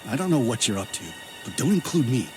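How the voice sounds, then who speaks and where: A man's voice: mid-range voice, big pitch swings, fast talker, over music, lightly acted. A man voicing a distrustful, troubled and reluctant man in a video game. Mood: wary, distrustful. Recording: clear background ambience noise.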